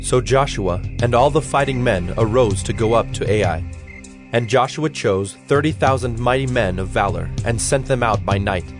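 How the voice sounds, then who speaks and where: A man narrating a dramatized scripture reading in a measured, solemn, scripted style. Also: medium pitch, over music.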